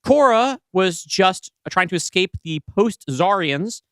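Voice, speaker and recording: A man's voice: high-pitched, expressive, slow pace, fairly bright tone, clear, resonant tone, no echo, studio quality. A man co-hosting a podcast, discussing things casually in an analytical, encouraging way. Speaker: podcast co-host.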